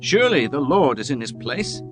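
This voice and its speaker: A man's voice: mid-range voice, very animated, normal speed, music in the background, close to an everyday voice. A man voicing a devout young patriarch in a dramatized scripture reading, solemn and formal. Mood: awed vow. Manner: solemn, archaic formal diction. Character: devout young male patriarch, earnest and pleading.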